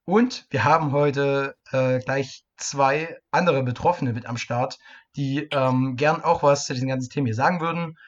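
A man's voice: medium pitch, normal speed. A man hosting a podcast in a casual way, searching for his words as he goes. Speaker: podcast host. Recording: muffled lo-fi mic with clear noise.

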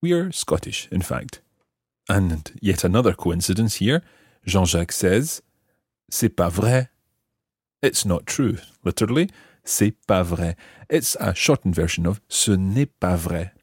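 A man hosting a language lesson, explaining example phrases piece by piece with careful, measured articulation. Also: deep voice, lively intonation, slightly rough, dry recording, studio quality. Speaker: language teacher and host.

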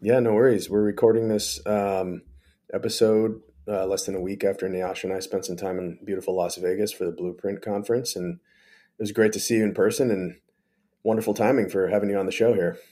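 A man hosting a podcast interview, talking in a relaxed, casual way with some hesitant fillers. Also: on the low side, brisk. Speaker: podcast host.

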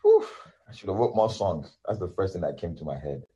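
A man's voice: low-pitched, lively intonation, a little fast, mellow, husky, dull audio. A man in his thirties whose first language is Yoruba, an independent musician talking loosely in an interview with lots of restarts and fillers. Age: 30s. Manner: rambling, many restarts and fillers. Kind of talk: casual interview.

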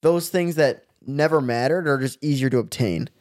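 A young man philosophizing in casual podcast chat. He has a middle-pitched voice, recorded dry and close to the mic, and lays out his reasoning step by step. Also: normal speed, darkish tone, no room sound. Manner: explanatory, philosophizing. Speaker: podcast co-host.

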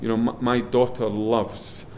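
A middle-aged man with a loud voice, a guest in a relaxed interview, rambling a little with frequent ums and restarts as he talks. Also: medium pitch, measured pace, slight background noise. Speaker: guest, head of a schools group.

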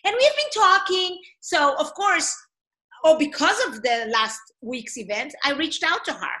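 Woman, Israeli accent, non-native English, forceful bright tone, enthusiastic host, casual online conversation. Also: sits high, expressive, unhurried, poor audio. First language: not English. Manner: enthusiastic, encouraging, emphatic with repetition. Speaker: host of a live online talk session. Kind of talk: casual interview chat. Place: online video call.

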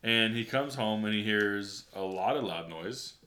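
A man's voice: lowish voice, measured pace, sharp tone. A man co-hosting a podcast, teasing his friends and laughing hard. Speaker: podcast co-host. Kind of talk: casual chat.

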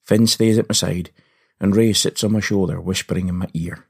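A man telling an adventure story to children in a playful, animated style with comic understatement. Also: deep voice, moderate pace, gravelly, slightly rough. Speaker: podcast host and storyteller.

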